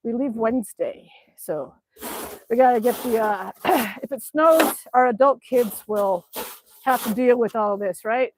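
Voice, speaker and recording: A woman's voice: fairly high pitch, measured pace, noisy background. A middle-aged woman from Alaska, chatting casually on a livestream outdoors in the snow and reading out viewer comments. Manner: chatty, reads out chat messages and answers them. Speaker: livestream host.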